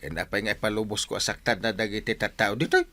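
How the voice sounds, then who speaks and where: An actor with an Ilocano accent playing a blustering, proud village captain in a comic radio drama. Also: male voice, fairly low pitch, steady tone, quick pace, sharp tone. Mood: indignant. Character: blustering, proud village captain, adult man, easily provoked.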